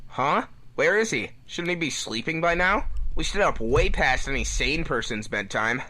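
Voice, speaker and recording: Man as a bright, brash young cartoon hedgehog. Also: fairly high pitch, expressive, normal speed, pitched below the performer's own voice. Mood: puzzled. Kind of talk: amateur fan fiction script reading.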